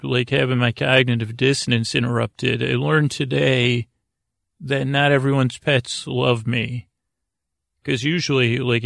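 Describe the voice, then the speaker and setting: A man with a creaky voice hosting a bedtime podcast, rambling along in a meandering, halting way and interrupting himself. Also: medium pitch, fairly bright tone, dry recording, studio quality. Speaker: podcast host.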